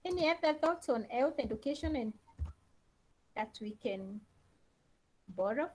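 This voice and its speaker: Slow, lively woman lecturer. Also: sits high, poor audio. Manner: slow, repeats key phrases and questions to students. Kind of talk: online class lecture. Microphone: laptop on a video call.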